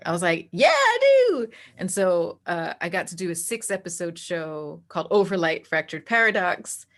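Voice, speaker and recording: Lower-voiced woman, chatty interview guest. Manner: animated storytelling that acts out quoted dialogue, frequent "like". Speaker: interview guest, game master.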